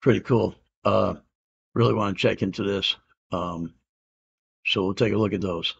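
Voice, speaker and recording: A man's voice: lowish voice, normal speed, gravelly, slightly rough. A man in his fifties casually showing off comic books at home, rambling with lots of fillers and easygoing slang. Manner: relaxed, rambling, many "uh" fillers, says "man" and "I can dig it". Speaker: comic collector showing an auction win. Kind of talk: casual unboxing chat. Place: home room with comic art on the walls. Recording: dull lo-fi home camera audio.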